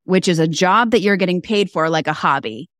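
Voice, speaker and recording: A woman guest on a podcast interview, talking about business in an animated, emphatic way and building her points through repeated phrases. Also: fairly low pitch, fairly quick, no echo, studio quality. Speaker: guest, fashion stylist and business mentor.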